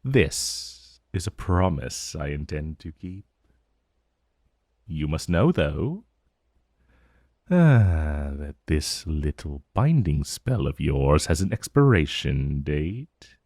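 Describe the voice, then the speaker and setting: Man voicing a slow, deep, possessive ancient dragon. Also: sing-song, a little mellow, dry recording, clean studio sound, full character voice. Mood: possessive, hungry. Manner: slow, breathy, drawn-out, condescending endearments. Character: ancient male dragon familiar, possessive, menacing yet tender. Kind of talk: romantic fantasy ASMR roleplay.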